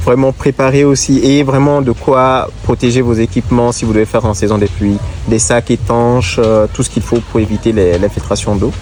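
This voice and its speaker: A man with a cold and a congested voice, looking back on a motorcycle road trip and giving advice in a measured, halting way. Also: mid-range voice, level tone, a little mellow. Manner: measured, halting, gives advice directly to viewers. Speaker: motorcycle traveler. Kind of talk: casual reflective talk to camera.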